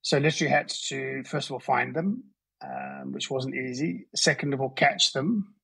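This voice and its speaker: A middle-aged man with a Southern African English accent answers interview questions in a husky, slightly breathy voice. He speaks thoughtfully and modestly, often pausing to restart a phrase. His pitch sits in the ordinary middle for a man. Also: fairly bright tone. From Zambia and Zimbabwe. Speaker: conservation biologist guest. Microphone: calling in remotely.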